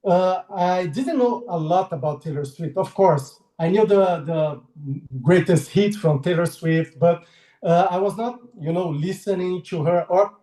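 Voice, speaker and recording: A man's voice: high-pitched, a little slow, warm tone, echoey room, poor audio. A man in his forties from Brazil with a Brazilian Portuguese accent, speaking as a guest illustrator on a video call, friendly and hesitant, laughing often. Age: 40s. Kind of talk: casual interview over video call.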